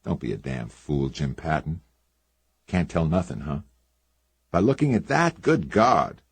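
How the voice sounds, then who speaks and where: A man doing a gruff older small-town doctor, exasperated, very deep husky voice. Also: very animated, unhurried, dry recording, exaggerated voice.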